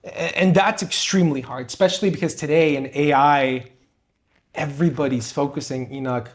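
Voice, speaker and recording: Earnest Peruvian-born man, fairly high voice. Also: steady tone, moderate pace. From Peru. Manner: earnest, motivational, addresses host by name. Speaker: podcast co-host and guest. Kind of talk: conversational interview.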